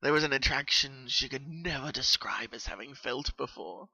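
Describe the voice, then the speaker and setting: A storyteller reads horror fiction with theatrical intensity. The voice is sharp and forceful, recorded dry without room sound. The delivery is scripted and dramatic. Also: medium pitch, normal speed, gravelly, husky, dry recording, low-quality recording. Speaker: narrator.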